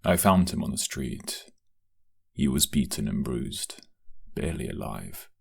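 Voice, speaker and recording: Man playing a very deep, gravelly loyal guard. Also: sing-song, a little slow, heavily acted. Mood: respectful, earnest. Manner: deferential, earnest, formal. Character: earnest, respectful, loyal male guard of a crime syndicate. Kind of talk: audio drama roleplay acting.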